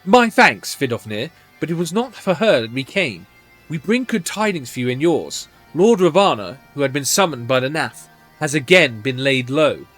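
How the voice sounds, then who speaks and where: Guy doing a polite earnest game hero, formal wording, highish voice, music under it. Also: very animated, normal speed, a bit bright. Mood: courteous. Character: courteous, earnest fantasy adventurer and diplomat.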